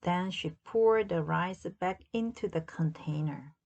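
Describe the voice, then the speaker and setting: A woman reading a children's story aloud as narrator, in a deliberate, expressive storytelling manner. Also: lowish voice, gravelly, noisy background. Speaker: storybook reader.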